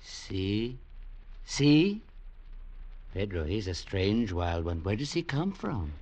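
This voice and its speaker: An actor playing a wondering, suspicious blind villager in 1940s radio drama, speaking in a ponderous, incantatory way. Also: male voice, on the low side, big pitch swings, takes it slowly, darkish tone, exaggerated voice. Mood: astonished. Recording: faintly noisy old radio recording.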